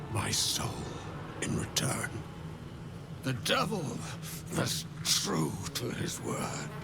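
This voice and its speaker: An actor playing a weary, dignified damned architect in a fantasy game, solemn and halting, often sinking to a near-whisper. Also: male voice, medium pitch, gravelly, heavily acted. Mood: regretful. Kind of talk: dramatic video game acting. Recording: prominent music behind the voice.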